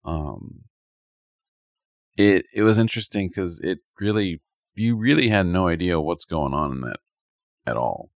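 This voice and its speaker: A man's voice: deep voice, expressive, a little slow, vocal fry, pauses often, no echo, studio quality. A man co-hosting a podcast in casual chat, rambling a little and thinking aloud as he gives his opinions. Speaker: podcast co-host. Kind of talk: casual chat, reviewing anime.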